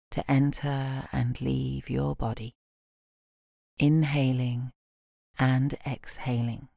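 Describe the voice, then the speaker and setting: Very low, slow British woman guiding meditation. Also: creaky, no echo, clean studio sound. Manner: calm, soothing, gently instructing with long pauses. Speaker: yoga nidra meditation guide. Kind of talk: guided relaxation meditation.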